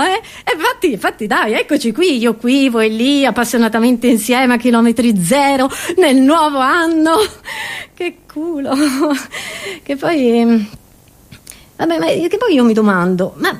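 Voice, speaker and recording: Female comedian, high voice, ironic and expressive monologue delivery, addresses listeners with rhetorical asides. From Italy. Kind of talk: theatrical satirical stand-up monologue.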